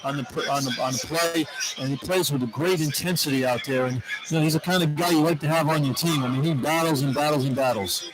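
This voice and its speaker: Very loud noise surrounds a man doing live color commentary on basketball. His voice sits a little high, and he talks in an informal, colloquial way. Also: quick pace. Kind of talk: conversational basketball analysis. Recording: very noisy live arena broadcast.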